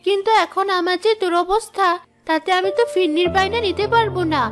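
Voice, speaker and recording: A humble, anxious young village woman in a cartoon fairy tale. Her voice is very high and almost childlike, earnest and pleading, with music underneath. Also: brisk. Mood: worried.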